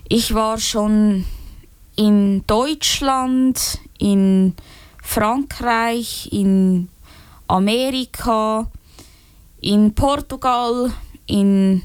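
A young woman speaking in a group, slow and halting with many fillers and restarts. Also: on the high side, clean tone, no echo. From Switzerland. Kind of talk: unscripted personal talk.

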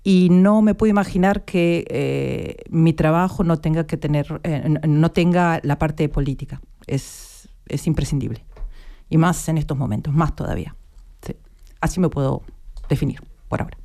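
A woman's voice: low voice, normal speed, gravelly, dark tone. A woman in her fifties with an Argentine accent, a guest on a radio interview, talking reflectively and searching for her words. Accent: Argentine Spanish.

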